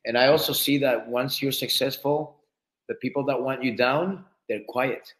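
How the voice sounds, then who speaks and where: Halting man in an echoey room. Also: mid-range voice, a bit bright. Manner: halting, repeats words. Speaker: group call participant. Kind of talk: casual encouraging talk.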